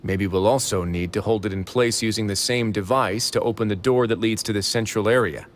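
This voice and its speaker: A man voicing a calm, authoritative male prison warden in a video game, measured and dry. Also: fairly low pitch, slight background noise, natural voice. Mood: commanding.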